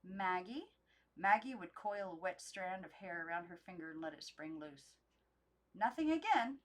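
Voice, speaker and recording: A woman's voice: on the low side, expressive, normal speed, rough recording. A young adult woman reading a children's novel aloud as an amateur audiobook narrator, unedited.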